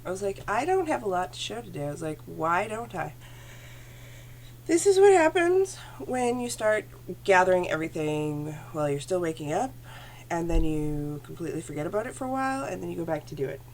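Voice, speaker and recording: A woman with a lowish, gravelly voice and a phlegmy throat chats casually about her knitting into a computer camera at home. She rambles and corrects herself as she goes, and a faint hum sits underneath. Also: very animated, a little fast, darkish tone. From Canada. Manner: chatty, rambling, self-correcting. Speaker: knitting podcast host. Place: home room. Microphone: computer camera at desk.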